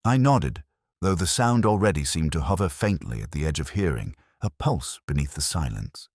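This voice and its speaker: A man narrating a scripted first-person mystery story in a measured, solemn, literary manner. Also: extremely low pitch, very animated, a little mellow, no echo, clean studio sound. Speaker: audiobook narrator.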